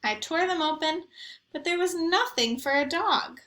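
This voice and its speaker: A woman voicing an eager, naive, mischievous young dog telling his own picture-book story in an expressive, earnest way. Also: very high-pitched, moderate pace, echoey room, pitched above the performer's own voice. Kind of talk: first-person picture book narration.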